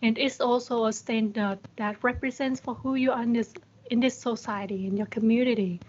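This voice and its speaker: Higher-voiced young woman on video call. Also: fairly even intonation, a little fast. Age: young adult. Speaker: guest speaker. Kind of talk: conversational motivational talk. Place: indoors on a group video call. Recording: dull video-call audio.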